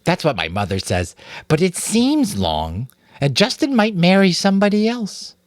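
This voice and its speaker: A man's voice: high voice, huge pitch swings, normal speed, dry recording, clean studio sound, voice pushed up. A man voicing a small young girl rat, childishly earnest, blunt and suspicious, in an audiobook. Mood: naive, earnest.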